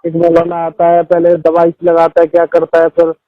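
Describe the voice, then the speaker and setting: A man's voice: a little high, completely flat delivery, moderate pace, no echo, soft background music. A young man on a phone call, asking questions hesitantly with lots of fillers. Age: young adult. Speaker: phone caller. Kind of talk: casual phone conversation. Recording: muffled phone call recording.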